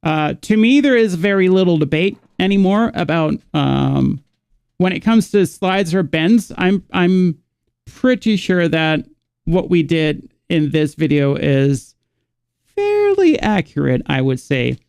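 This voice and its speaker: Gravelly man teaching guitar. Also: fairly high pitch, big pitch swings, slow, darkish tone, no room sound. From Netherlands. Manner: rambling, thinking aloud with frequent restarts. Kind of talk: live online guitar lesson.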